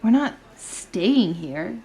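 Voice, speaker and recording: An actress playing a blunt, impatient young rebel in an audio drama, brusque and sardonic. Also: female voice, mid-range voice, lively intonation, extremely slow, darkish tone, slight background noise, low-quality recording. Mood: grumbling, uneasy.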